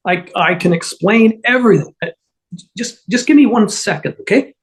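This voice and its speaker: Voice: medium pitch, normal speed, natural voice. An amateur actor playing a nervous, stammering schemer in a TV comedy table read, improvising cover stories. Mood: nervous bluffing.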